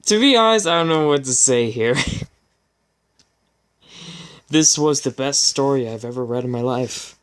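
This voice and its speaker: Male channel host, smooth clear mid-pitched voice, informal amused reader and commentator who trips over words. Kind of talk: casual comedic fan fiction reading and commentary.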